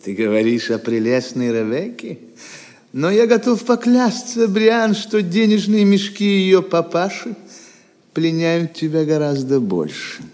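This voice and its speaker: A man's voice: fairly high pitch, animated, unhurried, darkish tone. A man acting a proud, suave Norman knight in an audio drama, with a florid, mock-gallant manner that carries menace. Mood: sly, amused. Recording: reverberant hall-like sound.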